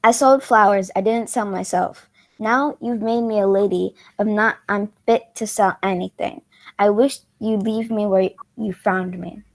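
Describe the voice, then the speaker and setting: A woman playing a wounded, proud young former flower girl in an amateur play reading, with a halting delivery that stumbles and repeats. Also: mid-range voice, fairly even intonation, lightly acted. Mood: bitter, hurt.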